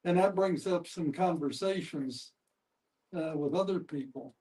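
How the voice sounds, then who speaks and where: An older man with a fairly high voice speaks some way from the microphone. He gives a personal account in a group discussion, halting and deliberate. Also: dark tone. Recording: distant microphone.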